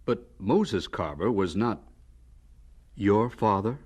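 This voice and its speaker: Polite male interviewer, fairly low, husky, expressive, curious, radio drama narration. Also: full character voice. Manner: polite, deliberate, probing.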